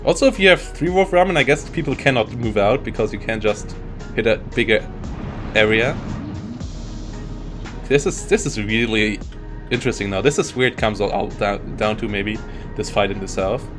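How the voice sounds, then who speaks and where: A man's voice: fairly low pitch, normal speed, music in the background. A man commentating a live strategy game tournament, talking in loose, self-interrupting bursts. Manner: rambling, self-interrupting, frequent restarts. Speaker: esports caster.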